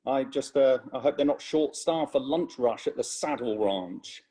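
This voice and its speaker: A man's voice: medium pitch, animated, moderate pace, warm tone, lightly acted. An actor playing a pompous, sardonic, mocking man in a comedy table read.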